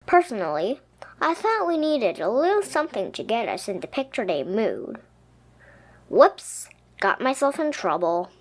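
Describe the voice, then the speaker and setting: A woman reading a children's picture book aloud expressively, with dramatic, emphatic pauses. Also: a little high, very animated. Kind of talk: expressive children's storybook narration. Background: music.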